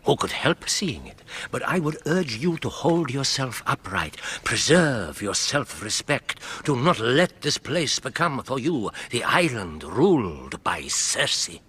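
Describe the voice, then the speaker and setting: An actor playing a worldly, insistent intellectual who moralises eloquently and pointedly in a radio drama. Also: male voice, medium pitch, very animated, slow, few pauses, pushed and bright, slight background noise, heavily acted. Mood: urgent warning. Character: worldly, insistent male Italian intellectual, elegant moralising mentor.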